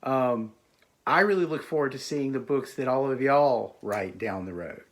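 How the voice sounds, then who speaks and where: A man's voice: mid-range voice, normal speed. A man, an author, speaking in a recorded message to a library audience, hesitating often with "uh" and "um". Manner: hesitant, full of "uh" and "um" fillers.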